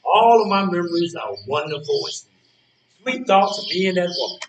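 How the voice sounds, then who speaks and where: A man playing a feisty old man, animated and high. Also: brisk, background noise. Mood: dismissive. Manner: folksy, defiant, emphatic. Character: feisty, boastful old man who refuses to feel helpless. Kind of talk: live stage play dialogue. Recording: roomy stage recording from far off.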